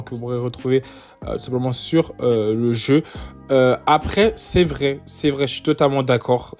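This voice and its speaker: A young man casually ranking weapons in a mobile shooter, chatty and full of filler words and gamer slang, talking straight to his viewers. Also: medium pitch. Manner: loose, chatty, constant fillers and "tout simplement" restarts; gamer slang, addresses viewers as "les gars". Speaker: mobile game content creator.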